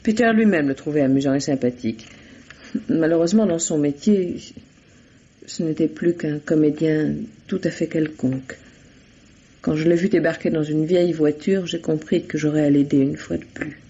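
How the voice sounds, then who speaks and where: An actor playing an evasive, defensive murder suspect in a vintage radio mystery, hesitant and guarded under questioning. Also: male voice, on the high side, normal speed, dark tone, slightly rough. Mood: explaining, wistful. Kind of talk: 1950s French radio mystery acting. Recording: dull lo-fi old radio recording.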